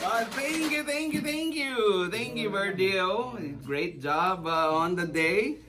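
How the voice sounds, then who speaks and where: A show host chatting casually in a halting, hesitant way. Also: medium pitch, lively intonation. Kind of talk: casual unscripted show chat. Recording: distant mic with background noise.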